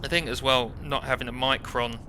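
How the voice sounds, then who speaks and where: British bloke talking over his own kart race footage, pretty flat delivery, wry and self-deprecating, engine noise clearly behind him. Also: mid-range voice, a little fast. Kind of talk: informal first-person race commentary.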